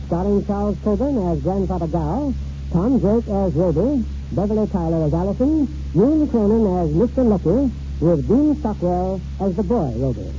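A man's voice: sits high, big pitch swings, moderate pace, pauses often, background noise. A man presenting a 1940s radio theater show in formal, scripted announcer style. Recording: muffled 1940s radio recording.

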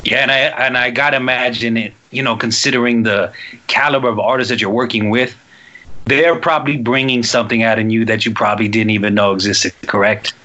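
Dude hosting an interview, bright tense voice, laid-back slangy and rambly, muffled lo-fi audio. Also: male voice, medium pitch, steady tone, fairly quick. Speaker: interview host. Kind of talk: casual interview chat.

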